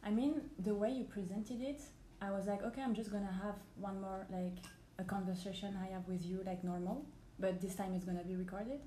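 A woman's voice: mid-range voice, fairly even intonation, a little fast, few pauses, mellow, reverberant, slight background noise, rough recording. A woman in her twenties from France, a native French speaker, chatting as a podcast guest in an easygoing, dryly teasing way. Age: 20s. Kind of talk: casual conversation.

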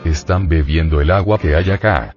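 A man's voice: extremely low pitch, moderate pace. A man giving an informal lecture to his students as their spiritual teacher, insistent and admonishing, putting rhetorical questions to them. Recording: dull lo-fi recording with faint music.

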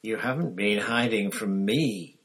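A man's voice: lowish voice, a little slow, a bit bright. A man reading an audiobook, voicing a bitter escaped prisoner who hisses venomous accusations. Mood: accusing. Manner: venomous, hissing, growling accusations.

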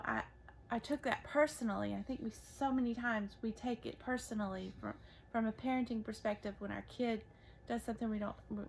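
A soft-voiced woman in her thirties speaks gently and haltingly, with plenty of vocal fry and a slightly high pitch. Faint noise sits under her voice. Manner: gentle, halting, many restarts. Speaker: podcast co-host, mother. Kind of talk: casual faith conversation.

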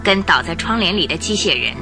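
A woman narrating a radio drama series with vivid, expressive storytelling. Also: on the low side, brisk, vocal fry. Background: background music.

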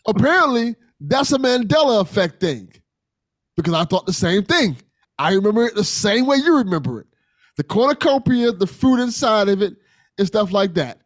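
A man's voice: high-pitched, very animated, normal speed, dry recording. An American man hosting a podcast in a casual, conversational style with a jokey, informal manner. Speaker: podcast host.

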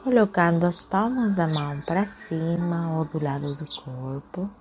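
A woman leading a guided meditation in a soothing, unhurried manner, with long pauses between phrases. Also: lowish voice, noisy background. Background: music.

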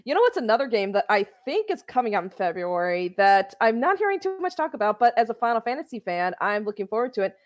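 A woman's voice: a little high, sing-song, quick pace, hardly any pauses. A woman in her thirties co-hosting a podcast, chatting in an upbeat way about upcoming games. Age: 30s. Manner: chatty, upbeat, laughs often. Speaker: podcast co-host. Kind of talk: casual chat.

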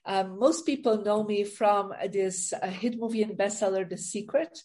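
A woman's voice: medium pitch, moderate pace, a little mellow. Woman, Belgian accent, middle-aged, interview guest, easy storytelling tone, mid-range voice. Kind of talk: interview on a video call.

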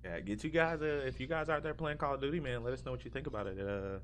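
A man's voice: mid-range voice, quick pace, fairly bright tone. A man co-hosting a podcast, chatting casually and informally about video games. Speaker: podcast co-host. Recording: audible hum and background noise.

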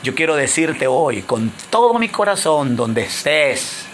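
A high, bright-toned man preaching with a Honduran Spanish accent, surging into forceful proclamations and then sinking into hushed, earnest passages. A faint hiss of background noise sits under his voice. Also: big pitch swings, a little slow. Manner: impassioned preaching with big swings between loud declarations and low, hushed passages. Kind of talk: sermon.

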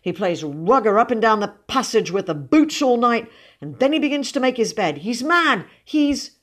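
A woman's voice: fairly high pitch, sing-song, normal speed, pitched above the performer's own voice. Woman acting a furious, blustering father. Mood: exasperated outrage. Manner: thundering, exploding in indignation.

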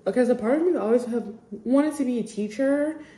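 A woman's voice: on the high side, a little fast, warm tone. A young woman in her twenties sniffling a little while she chats in a candid, rambling way as a podcast host. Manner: rambling, candid, full of "like" and "I just". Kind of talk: casual personal chat. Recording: echoey room.

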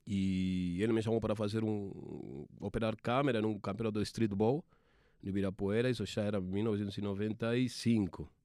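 A man in his forties from Argentina, speaking with an Argentine Spanish accent, a TV sports producer telling his career story in halting speech with many restarts. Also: on the low side, mellow, no room sound, clean studio sound. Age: 40s.

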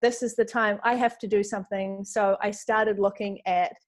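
A woman in her forties with a New Zealand accent, talking as a podcast guest. Her pitch sits at an ordinary middle level. She chats freely, full of fillers, and often acts out her own past thoughts. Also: little pitch movement, normal speed. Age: 40s. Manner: chatty, lots of fillers and quoted self-talk. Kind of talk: casual interview chat.

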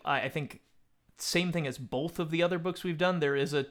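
A man's voice: fairly high pitch, fairly quick. A man co-hosting a casual book discussion, joking and playfully acting out quotes. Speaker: podcast co-host.

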